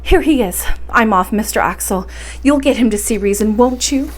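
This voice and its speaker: An anxious household cook from an old storybook, acted out by a woman reader. She speaks high and fretfully, exclaiming in a flustered way. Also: normal speed, natural voice. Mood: anxious surprise.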